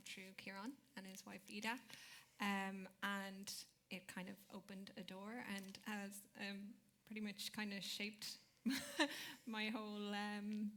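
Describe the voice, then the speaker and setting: A woman's voice: mid-range voice, fairly bright tone. Hesitant woman shop owner. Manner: hesitant, many fillers. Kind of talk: panel discussion.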